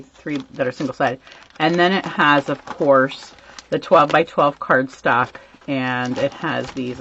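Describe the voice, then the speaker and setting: Chatty woman with a gravelly voice. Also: extremely low pitch, lo-fi sound, a little hiss or hum. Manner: chatty, greets viewers by name, many ums. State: losing her voice. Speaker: host of a live stamping class. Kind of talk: casual live-stream chat and craft demo.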